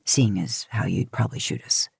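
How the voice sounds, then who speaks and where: A woman narrator acts out a gruff, wisecracking grown man from a story. The voice drops well below her own into a low register, with a sardonic edge, recorded close and dry. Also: steady tone, normal speed, no room sound, heavily acted. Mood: wry. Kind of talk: fan fiction audio story reading.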